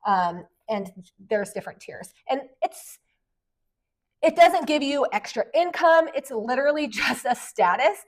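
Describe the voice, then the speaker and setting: A woman's voice: high voice, expressive. A woman around forty hosting a podcast, polished in her intro and chatty when she explains things. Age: late 30s to 40. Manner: brisk, polished delivery that turns chatty and explanatory. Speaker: podcast host and Amazon influencer. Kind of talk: podcast conversation.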